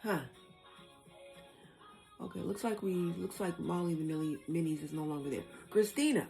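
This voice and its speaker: A woman's voice: on the low side, normal speed, creaky, dark tone, faint music behind. A woman hosting a casual discussion, reading out information and thinking aloud as she explains it. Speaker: host.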